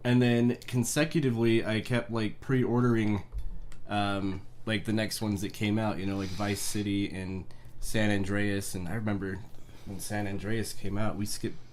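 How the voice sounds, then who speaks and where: A young man co-hosting a podcast, telling a story in an animated way and quoting himself. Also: on the low side, moderate pace, a little hiss or hum. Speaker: podcast co-host. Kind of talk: casual chat.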